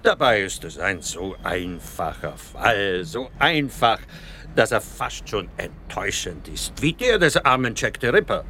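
An urbane Viennese psychoanalyst in a radio play, speaking in a slow, highly expressive voice with a bright edge. He lectures with smug assurance and tags his points with rhetorical questions. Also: slight background noise, heavily acted. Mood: genial, smug. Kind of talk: German radio drama acting.

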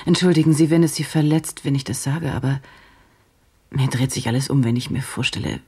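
An actress playing a driven, troubled woman journalist in a radio play, speaking in a halting, introspective, intense way. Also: dark tone, heavily acted. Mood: shaken, probing. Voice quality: low, husky. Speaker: radio drama actor. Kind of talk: German radio play, intimate monologue and interview.

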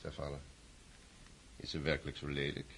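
An actor playing a cynical, flippant young aristocratic rake in a radio drama, with faint noise on the recording. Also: male voice, very deep voice, monotone, normal speed, fairly bright tone. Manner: mocking, flippant.

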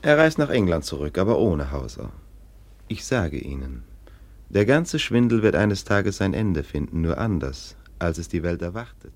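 An actor playing a scornful, domineering police lieutenant in a German radio play, with a sardonic, dismissive manner. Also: male voice, low voice, big pitch swings, normal speed, full character voice. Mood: smug, dismissive.

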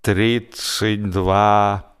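A sleepy, grumpy man as a male audiobook narrator plays him. He mumbles slowly and stretches his words into grunts, stubborn and half awake in a comic storybook reading. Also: lowish voice, level tone, clear, resonant tone. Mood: drowsy. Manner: drawn-out grunting, slow drowsy mumbling.

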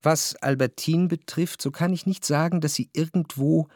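A man playing an introspective narrator recalling his past love in a literary radio drama, measured and reflective. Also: on the high side, steady tone, darkish tone, close to an everyday voice.

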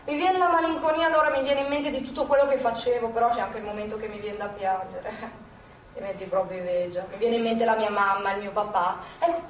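A woman's voice: fairly high pitch, steady tone, a little fast, natural voice. An actress playing an elderly Milanese former partisan woman in a theatrical monologue, nostalgic, earthy and rambling, in colloquial speech. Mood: wistful, weary. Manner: colloquial, rambling, repeating herself. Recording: echoey theatre, distant mic, faint noise.

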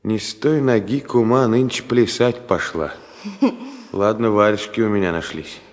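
A man's voice: on the low side, measured pace, natural voice. An actor playing a tender, bossy, teasing man courting his sweetheart in a Soviet radio play, coaxing her playfully. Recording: 1970 radio play, long reverb.

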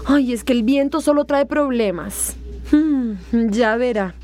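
An actress in a radio drama playing a grumbling, complaining office assistant. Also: female voice, sits high, a little slow, darkish tone.

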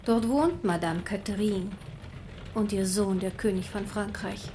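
A woman acting a proud, devout queen mother, embittered and resolute, with a grave, measured delivery in a German radio play. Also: medium pitch, steady tone, mellow, slight background noise, close to an everyday voice.

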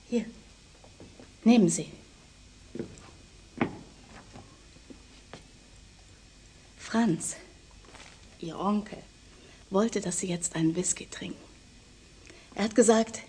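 An actress playing a gentle, composed, discreet woman in an intimate radio drama, with a breathy, husky voice. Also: fairly low pitch, slow, long pauses, full character voice. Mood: soft, gentle.

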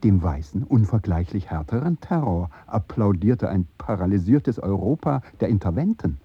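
A bitter revolutionary in a radio play, low and extremely husky. The monologue is formal and sardonic, in muffled sound with faint music behind. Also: male voice, very animated, moderate pace, no echo, heavily acted. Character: bitter, sardonic male revolutionary speaking for himself. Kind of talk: literary radio drama monologue.

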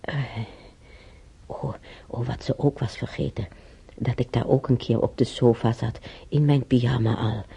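A man playing an elderly German emigrant in a radio drama, reminiscing in a rambling, halting way with a German accent and husky, creaky voice. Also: medium pitch, fairly even intonation, warm tone, full character voice. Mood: amused, nostalgic. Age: older. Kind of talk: intimate radio drama monologue.

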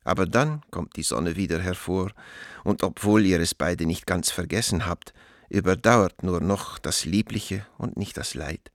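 A man's voice: sits low, unhurried, no room sound, studio quality. An actor playing an affectionate, loyal middle-aged man in a radio play, reading out his letter in a reflective, tender way. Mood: gentle, consoling. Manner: reflective, tender, literary phrasing.

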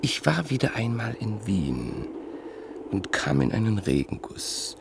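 A man telling a story in a radio drama, slow and measured, often dropping to a near whisper. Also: on the low side, big pitch swings, vocal fry, background noise. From Vienna, Austria. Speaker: narrator in a radio play. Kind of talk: 1950s radio drama acting.

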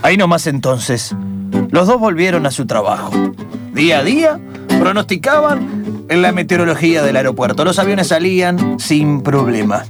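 A man's voice: on the high side, very animated, loud music behind the voice. A man playing a solemn, suspenseful narrator in an improvised comic radio play. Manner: deliberate, dramatic, suspenseful. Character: solemn storybook narrator of absurd adventures.

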